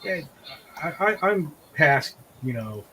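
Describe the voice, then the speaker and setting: A man talking casually as a podcast co-host, in a halting, matter-of-fact way. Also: a little high, very animated, bright, forceful tone, rough recording. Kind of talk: casual chat. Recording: clear background noise.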